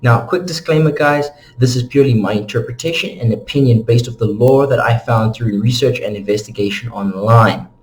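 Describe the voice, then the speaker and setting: A man's voice: mid-range voice, fairly quick, hardly any pauses, rough recording. A man hosting an informal explainer, talking casually and conversationally to his viewers as he narrates. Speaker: host of a game lore channel.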